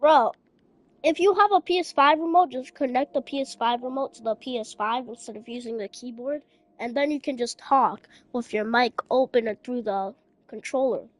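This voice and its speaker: High-voiced young woman streamer, slangy and insistent. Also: normal speed, no room sound. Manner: slangy, repeats phrases insistently. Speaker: live streamer. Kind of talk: casual gaming chat with other players.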